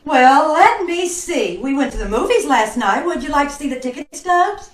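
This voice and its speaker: A woman's voice: sits high, very animated, moderate pace. A woman playing a sassy, unruffled lady in an old-time radio drama, answering dryly, on a roomy, noisy video-call recording. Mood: dry, defiant. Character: unruffled, sassy young lady defending herself to police.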